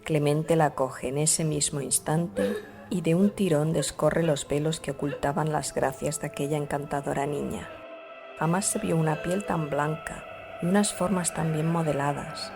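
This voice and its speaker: A woman's voice: low-pitched, fairly even intonation, moderate pace, gravelly, music in the background. A woman reading literary fiction aloud as a scripted audiobook narrator.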